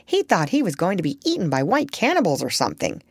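A woman voicing an elderly female parrot who chatters on with excitable gossip. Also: on the low side, fast, slightly rough, no echo, clean studio sound, heavily acted. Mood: chatty. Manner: excitable, talkative, breathless gossip.

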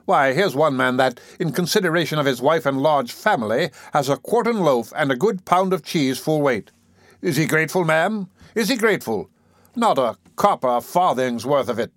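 A man's voice: a little high, animated, normal speed, no room sound, clean studio sound, natural voice. A man voicing a pompous, self-important parish beadle who complains indignantly and moralizes.

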